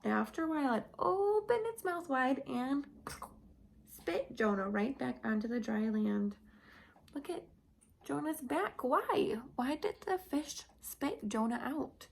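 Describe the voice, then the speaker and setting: A woman storyteller with a fairly high voice reads to small children at a very slow pace. She speaks in simple, repeated phrases and puts questions to her young listeners, with a little creak in the voice. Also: lively intonation, a little hiss or hum. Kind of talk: animated read-aloud for young children.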